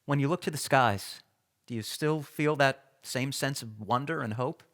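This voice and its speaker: An actor playing a serious, probing interviewer and narrator in a radio documentary drama. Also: male voice, mid-range voice, normal speed, a bit bright, lightly acted.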